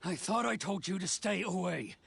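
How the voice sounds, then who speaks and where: A man's voice: high voice, normal speed, vocal fry, a bit bright, soft background music, heavily acted. A man voicing a stern, proud swordsman officer in a video game, clipped and haughty in delivery. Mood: curt, commanding. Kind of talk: English video game voice acting.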